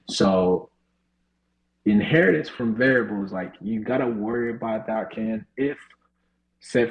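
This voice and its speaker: A man's voice: fairly low pitch, darkish tone, low-quality recording. A man teaching a live online coding class over a video call, talking casually with lots of "right?" and "you know". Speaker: coding instructor.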